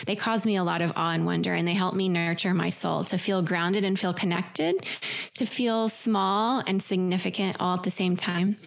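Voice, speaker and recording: A woman in her forties, a former pastor, giving earnest tips at a home office desk mic, with soft laughs and fillers. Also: on the low side, moderate pace, vocal fry. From Venezuela. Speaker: guest speaker, former pastor. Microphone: large desk mic close in front, earbuds.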